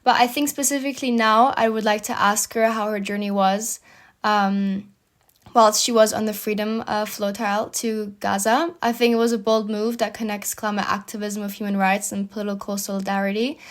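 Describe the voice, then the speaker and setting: A woman's voice: mid-range voice, level tone, moderate pace. A young woman in her twenties from Germany, a student guest answering interview questions in an earnest, slightly hesitant way. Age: 20s.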